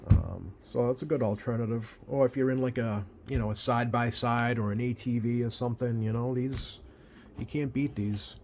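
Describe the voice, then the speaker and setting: An American man with a mid-pitched voice talks casually through a hobby video, unscripted. He is hesitant and halting, leaving drawn-out pauses as he goes. There is faint background noise under his voice. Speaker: radio hobbyist presenting a video. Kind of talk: casual unscripted product walkthrough. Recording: clear steady background noise.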